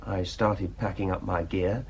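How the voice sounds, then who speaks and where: A man's voice: deep voice, fairly even intonation, a little slow, a little mellow, slightly rough, full character voice. An actor playing a cynical, defiant male painter in a radio drama, telling his tale wryly and without remorse. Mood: musing.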